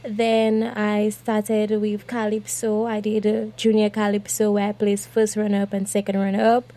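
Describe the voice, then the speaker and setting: A young woman singer, speaking softly and cheerfully as a guest in a radio interview. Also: mid-range voice, monotone, normal speed. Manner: soft-spoken, cheerful, laughs often. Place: radio studio.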